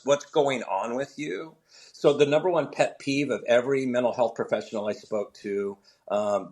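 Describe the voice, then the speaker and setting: A middle-aged male comedian chatting on a remote interview, telling stories and acting out quoted voices. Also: medium pitch. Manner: animated storyteller, acts out quoted voices. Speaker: comedian and show founder. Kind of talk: casual interview chat.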